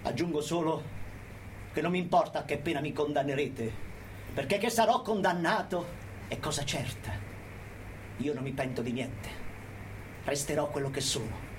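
A man's voice: a little high, animated, normal speed, pauses often, slight background noise, lightly acted. A man playing a defiant, unrepentant anarchist militant in a declamatory, emphatic theatrical monologue to his judges. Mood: resolute, defiant.

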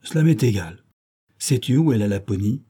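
A man's voice: medium pitch, lively intonation, normal speed, warm tone, slightly rough, pitched above the performer's own voice. A man voicing a wild, cruel little robber girl who talks in a fierce, teasing, bossy way.

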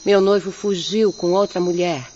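An actress with a Brazilian accent playing a gentle, devout young peasant woman who speaks in an earnest, consoling, measured way in a radio drama. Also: lowish voice, fairly even intonation, lightly acted. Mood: sorrowful. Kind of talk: Portuguese-language radio drama acting. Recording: muffled lo-fi audio, night insects, noise.

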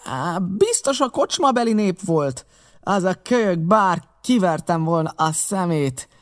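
A man voicing a furious blind old beggar pirate leader in an audiobook, shouting commands and curses. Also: extremely high pitch, lively intonation, pitched above the performer's own voice. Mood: angry, commanding. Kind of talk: audiobook character acting.

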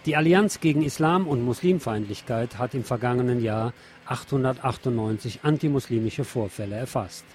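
A man's voice: medium pitch, moderate pace, a little mellow. Male radio presenter reading news.

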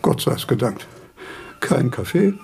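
An actor playing a frail, querulous, eccentric old philosopher in a radio play, pedantic and declamatory with halting delivery. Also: male voice, mid-range voice, sing-song, vocal fry, darkish tone, slightly rough, full character voice. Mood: impatient. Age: older. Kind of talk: German radio play acting.

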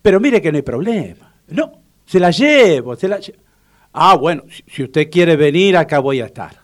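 A man plays a proud older Argentine home cook in a comic radio drama. His voice sits a little high and swings widely up and down. He repeats himself and fusses with theatrical self-importance. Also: big pitch swings, moderate pace. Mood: obliging. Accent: Argentine Rioplatense.

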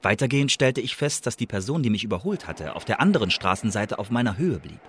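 An actor playing a wary, brooding male secret agent in a radio drama, telling his story in a confiding, dramatic way with a husky, breathy voice. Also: on the low side, very animated, fast, a little hiss or hum. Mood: watchful, tense. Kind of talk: German radio drama monologue, intimate storytelling.

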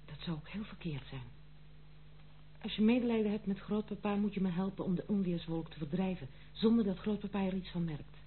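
An actress playing a dutiful, stern but kind granddaughter in an old Dutch radio play, speaking formally and gravely. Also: female voice, medium pitch, a little fast, natural voice. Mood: firm concern. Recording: muffled phone-like old radio recording, faint hum.

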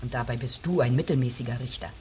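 Female, middle-aged icy, contemptuous judge in radio play. Extremely low pitch, restrained, faint storm behind. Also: quick pace, dark tone, a little hiss or hum. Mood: bitter, scornful. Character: cold, scornful middle-aged female judge, estranged wife. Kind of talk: radio drama acting.

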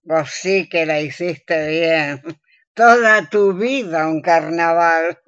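An actress plays a grumbling older Argentine woman in a radio comedy. She speaks in slow, drawn-out complaints, sarcastic and quick to be scandalised. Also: deep voice, fairly bright tone, lo-fi sound. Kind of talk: radio theatre comedy acting.

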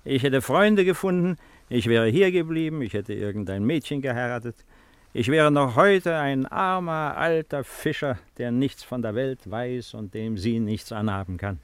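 A man's voice: mid-range voice, lively intonation, normal speed, clean tone, no room sound, natural voice. An older man playing a brooding, wistful old emperor in a 1950s radio drama, speaking in a reflective, musing way. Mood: melancholy, musing.

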